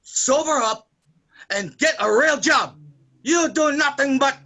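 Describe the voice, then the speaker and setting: A man's voice: extremely high pitch, measured pace, gravelly, sharp tone, full character voice. An actor playing a caring, responsible brother, an earnest man in a staged drama. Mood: angry. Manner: earnest, protective, scolding when angry. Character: Macario, caring, responsible, bookish Filipino brother. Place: online virtual staged reading.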